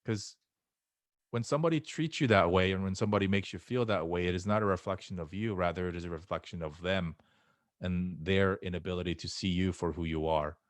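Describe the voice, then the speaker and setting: A man's voice: sits low, brisk, no room sound. A man in his late thirties with an American accent, giving earnest answers in an online talk and posing rhetorical questions. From Korea, moved to the United States at eight. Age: late 30s. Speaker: guest speaker.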